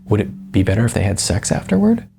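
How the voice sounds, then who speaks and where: A man voicing a guarded, earnest young woman filmmaker in an audiobook, halting and easily put on the defensive. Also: lowish voice, huge pitch swings, vocal fry, mellow, slight background noise, full character voice. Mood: puzzled, defensive. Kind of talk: audiobook character voice.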